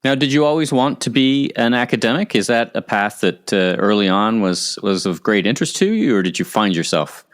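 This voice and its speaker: A man's voice: lowish voice, lively intonation, a little fast, hardly any pauses. A middle-aged man, hosting and interviewing on a podcast. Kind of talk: scripted podcast intro and conversational interviewing.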